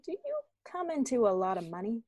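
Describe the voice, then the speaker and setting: A woman's voice: on the high side, big pitch swings, fairly quick, darkish tone, no room sound. A woman playing a probing, sardonic police captain in an amateur radio-style mystery. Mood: wry, commanding. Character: brisk, wry police captain questioning suspects.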